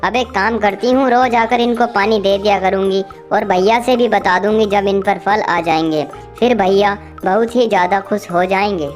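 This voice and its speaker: A woman's voice: mid-range voice, steady tone, moderate pace, hardly any pauses, close to an everyday voice. Woman acting eager little cartoon bird, music behind. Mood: delighted. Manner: eager, urging, exclamatory. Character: cheerful, hardworking little female bird, caring sister. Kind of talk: animated children's story dialogue.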